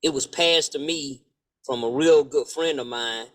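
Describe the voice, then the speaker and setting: A man's voice: mid-range voice, unhurried, a bit bright. A man from Alabama, a podcast listener, leaving a casual message of appreciation. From Alabama, United States.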